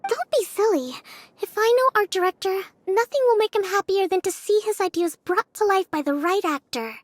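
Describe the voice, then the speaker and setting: Very high-pitched bubbly little girl. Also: moderate pace, no echo. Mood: delighted. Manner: eager, childlike, polite. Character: bubbly, wide-eyed little girl, polite and imaginative. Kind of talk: animated game cutscene acting.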